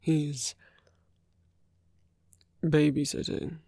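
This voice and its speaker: A man playing a protective, quietly possessive villain in an intimate ASMR roleplay, speaking in a hushed, halting, deliberate way with murmured asides. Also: a little high, flat delivery, vocal fry, no room sound, clean studio sound, full character voice. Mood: calm, wary. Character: smooth-talking male villain, protective, coaxing, quietly possessive.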